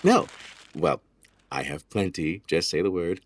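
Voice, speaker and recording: A husky, animated, officious lawyer. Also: male voice, sits low, unhurried, dry recording. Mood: self-satisfied, jovial. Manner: theatrical, officious, dryly pedantic. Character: brisk, smug, unflappable 1920s estate lawyer. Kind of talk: period audio drama acting.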